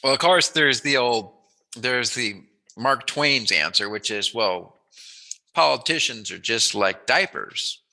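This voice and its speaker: A man's voice: mid-range voice, expressive, takes it slowly, vocal fry, bright, forceful tone. A man hosting a casual chat interview, talking in a playful, jokey, musing way. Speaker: interviewer, host.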